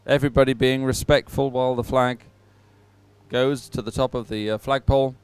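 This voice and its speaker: A man giving live sports commentary at a regatta in an informal, halting way with hesitant pauses. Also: medium pitch, steady tone, a little mellow, clear, resonant tone, no room sound.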